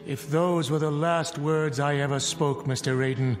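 An actor playing a frightened reverend who is stiff and indignant, in a radio drama. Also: male voice, a little high, steady tone, normal speed, big-room sound, soft background music, close to an everyday voice. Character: frightened reverend pleading to leave.

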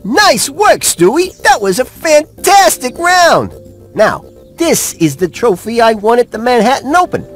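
A man's voice: extremely high pitch, sing-song, unhurried, fairly bright tone, faint music behind, exaggerated voice. A man voicing a cocky but friendly golfing mouse in a cartoon video game, folksy and encouraging. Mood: proud, encouraging. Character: cocky, friendly male golfer mouse, a mentor.

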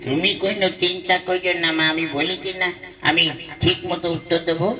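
A man's voice: sits high, little pitch movement, a little fast, bright, forceful tone. A man voicing a cocky, precocious village schoolboy in a comic puppet show, with a smug and cheeky manner. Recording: distant from the microphone.

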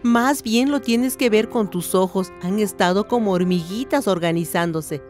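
A woman's voice: mid-range voice, moderate pace, darkish tone, faint music behind, lightly acted. An actress playing a caring, indulgent mother in a radio soap opera. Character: caring, gently indulgent mother of a grown daughter.

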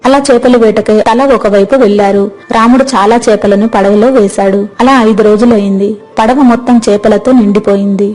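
A woman's voice: medium pitch, fairly even intonation, a little fast, a little mellow, faint music behind, rough recording. A woman narrating a scripted moral story as its storyteller.

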